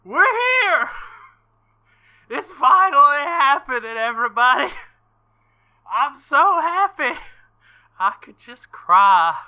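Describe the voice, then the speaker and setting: A man hosting a visual novel playthrough, reading aloud and breaking in with excitable, joking asides. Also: extremely high pitch, slow, long pauses. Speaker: let's-play host.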